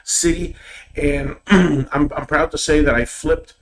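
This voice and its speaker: A man's voice: on the high side, fairly even intonation, slow, a crowd in the background, poor audio. A middle-aged man from Puerto Rico with a Puerto Rican accent, a native Spanish speaker answering as a political candidate, hesitant and repeating words. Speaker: political candidate being interviewed. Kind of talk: interview answers.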